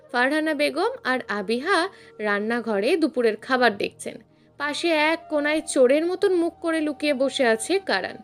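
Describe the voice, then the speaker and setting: A woman reading a scripted dramatic story as its narrator. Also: sits high.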